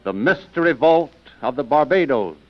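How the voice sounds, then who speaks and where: A man narrating an old-time radio mystery from a script, dramatic and portentous, with weighty pauses. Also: fairly high pitch, animated. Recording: muffled old radio recording. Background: prominent music.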